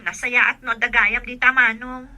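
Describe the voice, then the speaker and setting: Shrill, high-voiced jealous Ilocano wife acted by a woman. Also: level tone, moderate pace, pushed and bright. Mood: polite. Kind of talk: radio drama acting.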